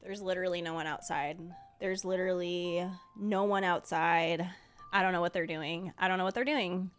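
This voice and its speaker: A woman's voice: on the low side, steady tone, moderate pace, slight background noise. A woman streamer giving casual, exclamatory reactions while playing a game. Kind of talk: casual live gameplay reaction. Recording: game music in the background.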